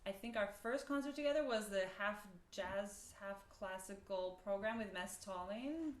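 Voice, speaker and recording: A woman's voice: medium pitch, normal speed. Woman on a roomy video call. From Chicago. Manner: relaxed, laughs often, trails off with fillers. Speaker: violinist guest. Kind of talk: casual video-call interview. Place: home room, shared with a colleague. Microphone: laptop video call. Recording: roomy video call with faint background hiss.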